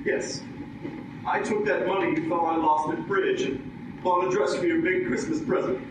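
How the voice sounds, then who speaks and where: A man's voice: sits high, steady tone, moderate pace, lightly acted. A man playing a whiny, put-upon house husband in a theatrical 1940s radio comedy, flustered and fretful. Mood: wounded, martyred. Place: live stage performance in a large room. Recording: echoey stage from far off, noisy.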